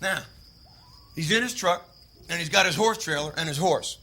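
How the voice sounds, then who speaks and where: An actor playing a rough, volatile brother in a stage drama, telling a story with folksy, emphatic repetition. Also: male voice, fairly high pitch, normal speed, sharp tone, exaggerated voice. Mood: explaining. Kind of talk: naturalistic filmed stage-play acting.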